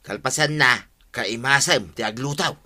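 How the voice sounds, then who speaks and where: A man's voice: medium pitch, normal speed, sharp tone. A man with an Ilocano accent playing a bossy, scheming village buddy in a radio comedy drama, coaxing in a conversational way. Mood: confident, instructing.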